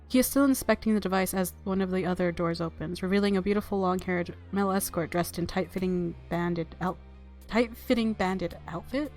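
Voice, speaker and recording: A woman's voice: mid-range voice, a little mellow. A woman reading visual novel narration aloud as a let's player, with casual, playful reactions. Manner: playful, giggly commentary. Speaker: let's play YouTuber. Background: game music.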